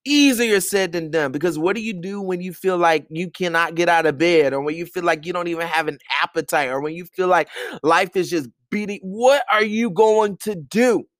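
A man's voice: high voice, moderate pace, a bit bright, dry recording, studio quality. A man hosting a podcast, giving an earnest, sermon-like talk of encouragement from his bedroom, repeating phrases for emphasis. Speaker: podcast host.

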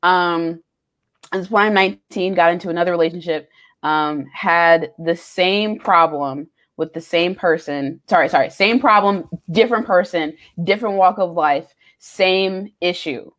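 Reflective young woman, lowish voice. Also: normal speed, a bit bright. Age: young adult. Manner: reflective, candid storytelling with frequent ums. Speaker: podcast co-host. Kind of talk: casual chat.

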